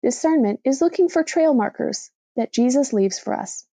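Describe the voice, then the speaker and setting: Higher-pitched, soft-toned woman reading an essay. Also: lively intonation, normal speed, dry recording. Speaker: reader of a blog post for a podcast. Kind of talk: scripted narration, reading a reflective religious essay aloud.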